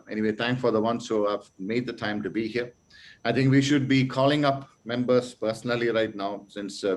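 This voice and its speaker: Earnest man chairing an online club meeting. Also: medium pitch. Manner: hesitant with many fillers, rising to earnest rhetorical questions. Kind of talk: formal meeting chairing, then motivational speech.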